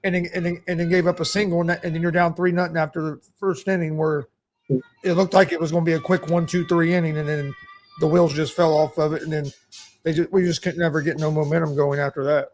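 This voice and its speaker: A man's voice: a little high, flat delivery, quick pace, soft background music, low-quality recording. A man in his forties co-hosting a casual sports talk show, giving a plain, rambling recap. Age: 40s. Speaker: co-host.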